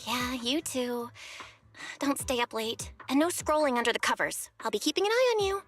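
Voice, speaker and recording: A woman playing a caring, slightly bossy young woman in a video game, speaking in an expressive, conversational way. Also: high-pitched, moderate pace, faint music behind. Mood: caring, playful.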